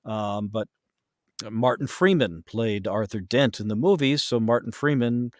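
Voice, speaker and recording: A man in a casual podcast conversation, explaining things and giving his opinions in an animated way. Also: mid-range voice, measured pace, no room sound, studio quality. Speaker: podcast guest, voice actor.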